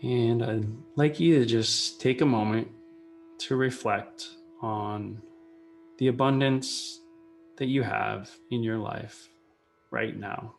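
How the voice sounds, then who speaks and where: A man leading a guided meditation, speaking in short unhurried phrases with pauses between them. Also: mid-range voice, vocal fry. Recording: soft music behind the voice. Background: meditation music.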